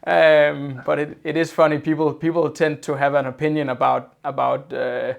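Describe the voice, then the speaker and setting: Higher-pitched male podcast host on a video call, restarts his phrases, then runs on fluently. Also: flat delivery, moderate pace, gravelly. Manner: often repeats his opening words, then talks on fluently. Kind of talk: casual video-call interview.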